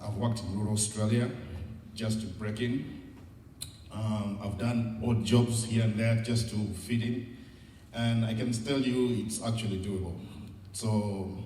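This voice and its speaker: A man's voice: on the low side, dark tone. A middle-aged man with a Ugandan accent gives an informal motivational talk in a candid, encouraging, conversational way. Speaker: guest speaker at a seminar. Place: large indoor event room. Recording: echoey hall, distant mic, noisy.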